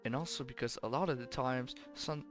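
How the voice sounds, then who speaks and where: A man's voice: medium pitch, brisk, soft background music. A young man casually commenting on game weapons, rambling and correcting himself, his voice a bit worn and sleepy. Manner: rambling, self-correcting, offhand. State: voice worn from long recording, sleepy. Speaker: gaming commentator ranking weapons.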